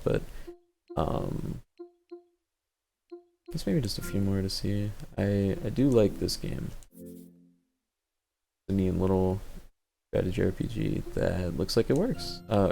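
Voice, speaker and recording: A man with a very deep, creaky voice talks casually through gameplay. He wanders from thought to thought, hesitating and working things out aloud. Music plays behind him. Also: darkish tone. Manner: rambling, hesitant, thinking aloud. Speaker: video game showcase host. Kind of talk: casual off-the-cuff gameplay commentary.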